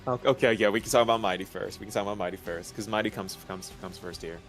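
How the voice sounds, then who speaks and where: A man as a guest on a stream, chatting casually in an animated, informal way. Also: fairly low pitch, fast talker, soft background music. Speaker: guest on a game discussion stream. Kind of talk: casual chat.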